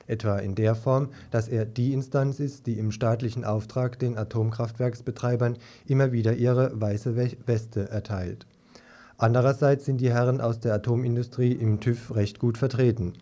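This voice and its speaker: A man reads a prepared news report for radio. His voice is dark and relaxed, at a middle pitch. The sound is a dull, lo-fi eighties radio recording. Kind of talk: scripted news report read aloud. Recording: dull lo-fi 1980s radio tape.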